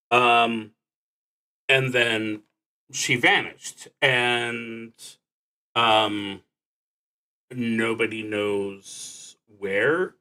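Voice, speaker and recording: A man streaming solo tabletop roleplaying, explaining the game casually in an earnest but halting way with long pauses. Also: medium pitch, bright, forceful tone, rough recording.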